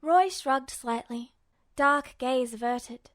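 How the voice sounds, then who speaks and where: Woman, fiction narrator, high pitch, scripted storytelling, dry recording. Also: slow pace, dry recording. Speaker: podfic narrator.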